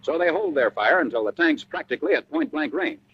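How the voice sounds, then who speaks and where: A man's voice: fairly high pitch, expressive, a little fast, muffled recording, a little hiss or hum. An American man narrating a 1940s military training film from a script, in a punchy, colloquial style full of wartime slang, on a vintage film soundtrack.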